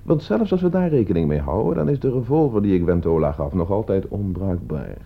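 Low-voiced, expressive radio drama man. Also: fairly quick, vocal fry, husky, full character voice. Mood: cool, self-assured. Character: self-assured man named Loimu who proves his point, turning rattled. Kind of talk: radio play acting. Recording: dull lo-fi radio play recording.

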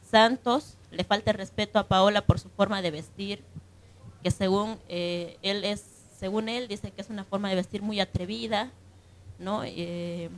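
A woman hosting a radio programme from a booth, recapping and discussing in an unscripted way, often adding tag questions. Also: medium pitch. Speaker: radio host.

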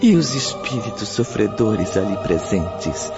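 An actor narrating a radio drama as a solemn storyteller, with a grave, deliberate, literary delivery. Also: male voice, fairly low pitch, big pitch swings. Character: solemn male storyteller of a spiritist afterlife tale. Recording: dull lo-fi radio drama, music behind.

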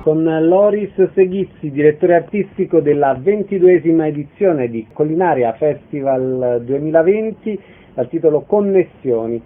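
A man hosting a radio interview, introducing and questioning a guest. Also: fairly high pitch. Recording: thin telephone-like radio sound.

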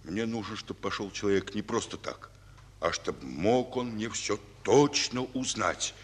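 In a long-reverb old radio recording, a fatherly, worn-out Soviet general speaks. His voice is low and husky, measured and confiding, with the weight of command. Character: weary, fatherly male Soviet army general. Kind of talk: Soviet radio drama acting.

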